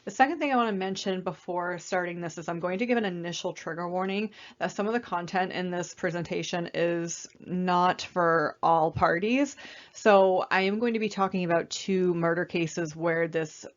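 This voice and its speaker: Young woman, slightly raspy, casual student presenter, lowish voice, dull recording. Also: normal speed. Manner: casual, conversational, frequent fillers. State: raspy, recovering from illness.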